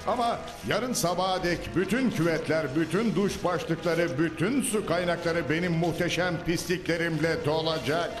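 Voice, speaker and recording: A man's voice: fairly high pitch, normal speed, hardly any pauses, natural voice. A man voicing a scheming, grandiose male sewer villain in a dubbed cartoon, giving gloating theatrical speeches. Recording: echoey reverb with music.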